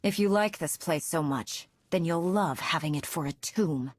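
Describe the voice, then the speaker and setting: A woman's voice: low voice, moderate pace, no echo, clean studio sound. An actress playing a defiant, sardonic female hero in a video game, coolly threatening. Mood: cold defiance. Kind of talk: video game character acting.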